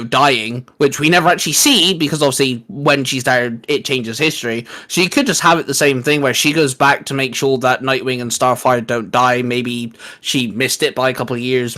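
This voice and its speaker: A man's voice: medium pitch, fairly bright tone. A man co-hosting a casual, geeky chat show with a teasing, sarcastic way of talking. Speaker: co-host.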